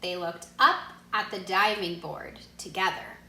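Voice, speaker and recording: A woman reading a children's storybook aloud expressively, pausing to put questions to her young listeners. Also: on the low side, bright, forceful tone. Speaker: read-aloud narrator, teacher asking listeners questions. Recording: echoey room.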